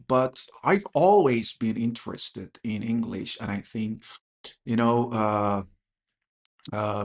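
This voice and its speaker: A man in his thirties, an English teacher hosting a casual podcast chat, who talks hesitantly with many fillers and restarts. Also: mid-range voice. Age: 30s. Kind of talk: casual conversation.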